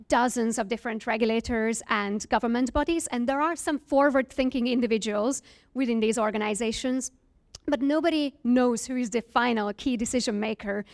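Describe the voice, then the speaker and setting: A woman's voice: on the high side, gravelly. A woman from Hungary, giving an earnest presentation as a founder, with frequent fillers. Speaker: startup founder giving a talk. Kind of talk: presentation to an audience.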